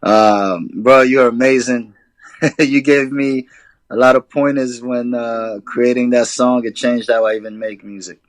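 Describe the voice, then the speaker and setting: A man from Sudan, a rapper guest, talking in a relaxed, casual way with hip hop slang. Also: medium pitch, measured pace, no echo. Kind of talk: casual interview chat.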